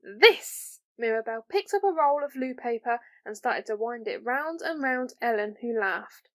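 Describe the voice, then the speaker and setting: A woman's voice: on the high side, expressive, normal speed. A woman reading a children's story as an audiobook narrator.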